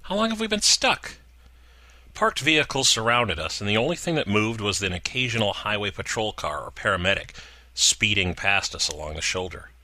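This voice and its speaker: A man narrating a horror story in a slow, deliberate way with dramatic pauses. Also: lowish voice, animated, sharp tone, no echo.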